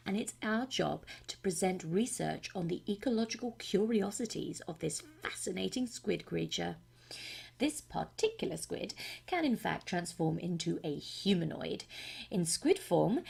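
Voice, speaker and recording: Woman as a genial, creaky game scientist. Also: fairly low pitch, a little mellow, exaggerated voice. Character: genial, quirky research scientist presenter, video game. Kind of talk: scripted narration.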